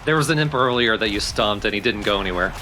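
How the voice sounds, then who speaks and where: A man's voice: lowish voice, quick pace, sharp tone, faint music behind. A man chatting casually as a co-commentator on a video game let's play. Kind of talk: casual chat over gameplay.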